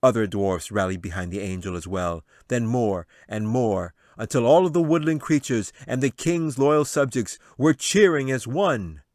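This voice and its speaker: A man's voice: mid-range voice, lively intonation, normal speed, few pauses, a little mellow, dry recording, clean studio sound. A man narrating a fantasy audiobook in a dramatic, scripted storytelling manner. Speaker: author reading his audiobook.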